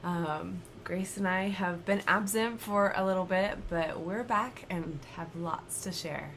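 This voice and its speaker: A woman in her thirties chatting at home about her stitching, slightly raspy from a cold and laughing often. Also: on the low side, unhurried, a bit bright, a little hiss or hum. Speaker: cross-stitch vlogger. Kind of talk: casual chat to camera. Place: home, indoors.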